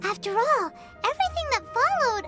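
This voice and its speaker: Casting: tiny perky game sidekick fairy girl, extremely high squeaky voice, very animated swings, over loud music. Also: moderate pace. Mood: thoughtful. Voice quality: squeaky, childlike. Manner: perky, speaks of self in third person. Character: tiny bubbly childlike fairy girl companion. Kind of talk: video game character voice acting. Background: tinkling game music.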